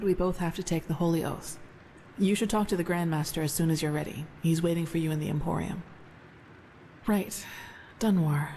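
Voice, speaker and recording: An actress playing an earnest, thoughtful female novice of a holy order in fantasy game dialogue, measured and reflective. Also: lowish voice, warm tone, a little hiss or hum, natural voice. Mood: somber.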